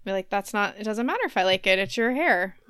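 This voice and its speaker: A woman's voice: medium pitch, animated, fast talker, fairly bright tone, a little hiss or hum. A woman, a podcast co-host, chatting casually and playfully and often laughing as she talks. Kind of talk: casual banter between co-hosts.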